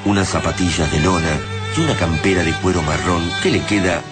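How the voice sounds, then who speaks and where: A man's voice: deep voice, steady tone, a little fast, natural voice. An Argentine man narrating a science fiction radio serial in a solemn, literary storytelling manner. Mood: grave narration. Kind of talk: scripted radio drama narration. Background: loud music.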